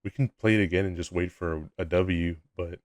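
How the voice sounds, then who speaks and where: Low voiced young guy streaming, laid back and rambly, real dry close mic. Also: steady tone, a little fast, no room sound. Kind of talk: casual live-stream chat, reading chat aloud.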